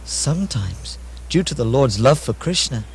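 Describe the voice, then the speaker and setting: A man playing a calm, reassuring holy man who acts as an exorcist in a puppet show, measured and instructive. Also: medium pitch, gravelly, a little hiss or hum, poor audio. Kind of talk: theatrical puppet-show acting.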